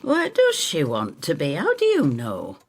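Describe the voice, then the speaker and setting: A woman's voice: sits low, wildly swooping pitch, measured pace, slightly rough, heavily acted. An actress playing a stubborn, loyal, chatty older Northern English woman who tells her story in an audio drama. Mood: indignant, pleading. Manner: chatty, gossipy, blunt Northern phrasing.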